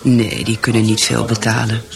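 An actress playing a troubled wife in a radio drama, speaking in a confiding, complaining way. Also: female voice, extremely low pitch, little pitch movement, moderate pace, gravelly. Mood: aggrieved. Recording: busy party chatter behind. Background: crowded reception chatter.

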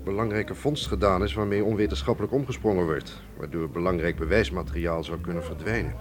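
Actor as a deep-voiced, shrewd museum man. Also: fairly quick, natural voice. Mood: measured, confident. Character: shrewd, composed Russian museum man, smoothly negotiating. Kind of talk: Dutch radio play acting.

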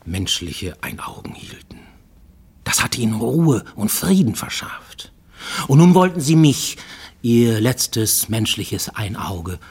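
Husky, haunted fugitive. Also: male voice, lowish voice, heavily acted. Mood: bitter, haunted. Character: haunted Japanese man on the run, brooding, intense. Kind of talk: radio play monologue, confessing to a professor.

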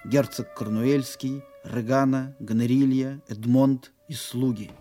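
A man's voice: mid-range voice, fairly even intonation, measured pace, mellow, faint music behind, natural voice. A man reading out scene settings and characters as narrator of an audio play. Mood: neutral. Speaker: announcer reading scene directions. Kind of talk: scripted narration.

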